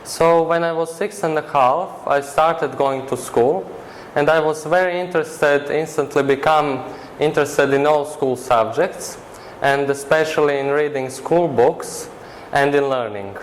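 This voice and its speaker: A man's voice: a little high, a little hiss or hum, poor audio. Earnest Croatian-accented teenage boy. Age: teens. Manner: earnest, measured, halting non-native phrasing. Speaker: interview guest. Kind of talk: interview answers.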